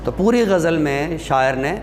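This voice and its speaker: A man giving an explanatory classroom lecture, deliberate and pausing to find his words. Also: fairly high pitch, animated. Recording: long hall reverb, faint background noise.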